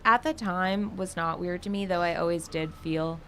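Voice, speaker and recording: A woman's voice: on the low side, normal speed, pushed and bright, a little hiss or hum. A young woman from New York City, chatting casually as an interview guest, filling her talk with "like" and laughing easily. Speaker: guest artist.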